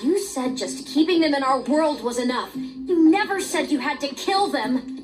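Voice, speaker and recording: An actress voicing an earnest young rabbit sorceress apprentice who turns defiant. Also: female voice, high-pitched, animated. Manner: earnest, quick to snap. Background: game music.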